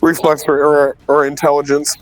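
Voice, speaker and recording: Casual male player, plain talker who works out his moves aloud over soft background music. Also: fairly high pitch, level tone, moderate pace, low-quality recording. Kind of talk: casual tabletop game chat.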